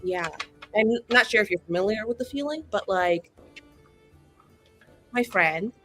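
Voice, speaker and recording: Woman as a bright, catty, falsely sweet young woman. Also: mid-range voice, lively intonation, unhurried, soft background music. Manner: casual, filler-laden, sarcastic.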